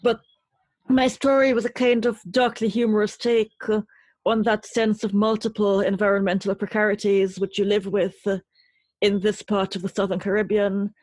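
Woman being interviewed, thoughtful careful phrasing, bit husky, really dry close sound. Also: medium pitch, level tone, a little mellow, no echo. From Trinidad. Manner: articulate, literary, deliberate phrasing. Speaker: interview guest, poet and writer. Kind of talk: reflective interview conversation.

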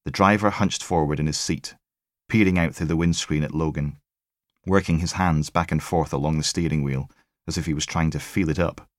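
A man's voice: a bass voice, fairly even intonation, normal speed, no echo, clean studio sound. A man reading a crime thriller as scripted audiobook narration.

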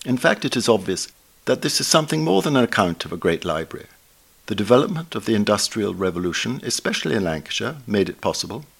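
An elderly man with a fairly low voice and an upper-class English accent reads a prepared review aloud. His delivery is formal and erudite, with deliberate emphasis on key phrases. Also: creaky, fairly bright tone. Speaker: book reviewer. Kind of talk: scripted book review read aloud.

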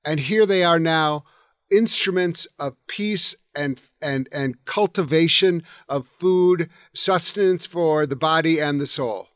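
A male podcast host with a high voice speaks with earnest enthusiasm, often stumbling and repeating words as he goes. The recording is dry and close. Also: slow, a bit bright, clean tone, no room sound. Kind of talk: conversational interview.

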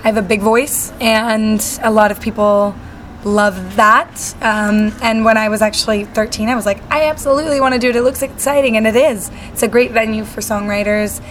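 A woman's voice: a little high, fairly even intonation, normal speed, fairly bright tone, slight background noise. A young woman singer-songwriter from Salt Lake City chatting casually in an interview, bubbly and full of fillers. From Salt Lake City, Utah.

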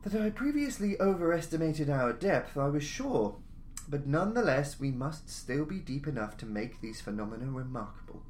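A man reading a story aloud in a measured, formal, theatrical way, as a podcast narrator. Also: fairly high pitch, big pitch swings, a little mellow. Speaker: narrator, audiobook-style podcast reader. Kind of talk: dramatic scripted story narration. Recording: noticeable background noise, jungle-like ambience.

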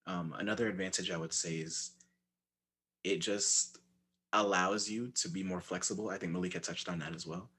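A young man who is a former resident assistant speaks as a panelist in a casual discussion, explaining his points in a measured, articulate way. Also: deep voice, fairly even intonation, bright, forceful tone, breathy edge.

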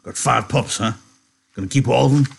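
A man voicing a big, blunt, pushy ranch hand with hearty, rough talk in a novel read aloud. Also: mid-range voice, brisk, voice pushed up.

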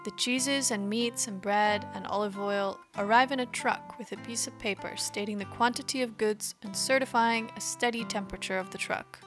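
Woman narrating over soft music. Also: medium pitch, fairly even intonation. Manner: measured, explanatory. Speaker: podcast host. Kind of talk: scripted narration.

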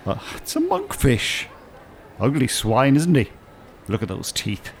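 Older man, prim sardonic ex-teacher for radio drama; expressive pitch, slight background noise. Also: a little slow, gravelly, heavily acted. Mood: smug, instructive. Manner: precise, formal wording, dry. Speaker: actor in an audio drama.

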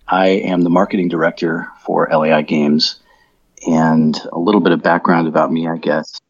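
A middle-aged American man from Colorado, a guest on a podcast, talking in a relaxed, rambling way with frequent ums and restarts. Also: low voice, normal speed, husky, no room sound, muffled recording. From Colorado, USA. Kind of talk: conversational podcast interview.

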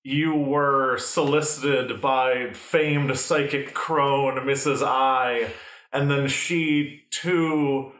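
A man's voice: medium pitch, fairly even intonation, drawn-out words, fairly bright tone. A man playing an accusatory, muddled detective in an improvised comedy radio mystery. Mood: accusing. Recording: echoey room recording.

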